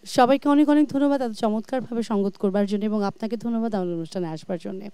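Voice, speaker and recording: A woman's voice: medium pitch, very fast, mellow, clean tone, dry recording. A Bangladeshi woman hosting a music show, speaking graciously and effusively to her guest and viewers. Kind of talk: presenting, chatting with guest and viewers. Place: TV studio.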